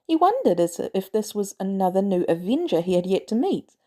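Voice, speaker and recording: Female narrator for audio fan fiction, mid-pitched with very lively intonation and dramatic emphasis. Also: brisk. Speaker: podfic narrator. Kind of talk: scripted story narration.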